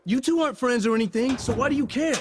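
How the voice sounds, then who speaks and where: An actor playing a defensive young football player, sarcastic and bristling in an audio drama. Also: male voice, very high-pitched, quick pace, a little hiss or hum. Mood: angry.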